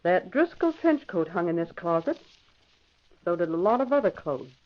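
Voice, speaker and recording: An actress playing a poised, determined secretary sleuth with crisp, pointed delivery in an old radio drama. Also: female voice, fairly low pitch, sing-song, moderate pace. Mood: coolly probing. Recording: muffled old radio recording.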